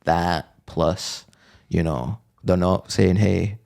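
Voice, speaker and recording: A man in his thirties with a low voice, co-hosting a casual, comedic chat about music. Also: takes it slowly, creaky, a little mellow. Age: 30s. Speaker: podcast co-host.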